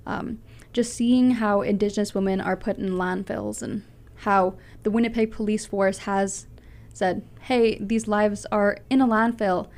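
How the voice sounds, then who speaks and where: A woman's voice: medium pitch, a little mellow. Earnest young woman speaker. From Canada. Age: young adult. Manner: earnest, passionate, strong voice. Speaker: guest, public speaker. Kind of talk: interview answers.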